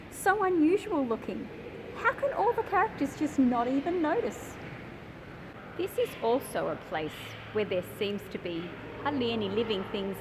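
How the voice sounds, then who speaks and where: Children's book presenter, female, 30s. Animated, higher-pitched, expressive explaining, recorded on a phone amid clear ambient noise. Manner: expressive, addressing children directly with emphatic phrasing. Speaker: host of a children's picture book channel. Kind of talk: explanatory presenting to young readers. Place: large industrial hall with old machinery.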